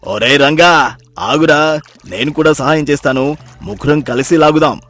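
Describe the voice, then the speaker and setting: A man playing a helpful, eager fisherman friend in a moral story, calling out loudly and heartily. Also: a little high, moderate pace, soft background music, close to an everyday voice. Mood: eager, hearty. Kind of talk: acted moral-story dialogue.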